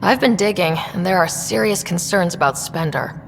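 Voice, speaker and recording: A woman's voice: on the low side, moderate pace, gravelly. Terse young female pathfinder, direct, with faint noise in the mix. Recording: game audio with long reverb and faint noise.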